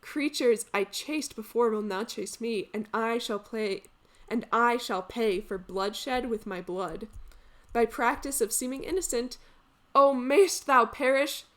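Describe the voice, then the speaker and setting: A woman playing a betrayed, wretched male exile in a Greek tragedy monologue, declaiming archaic lines in drawn-out anguish. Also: a little high, fairly bright tone. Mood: grim, vengeful. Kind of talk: theatrical classical tragedy monologue.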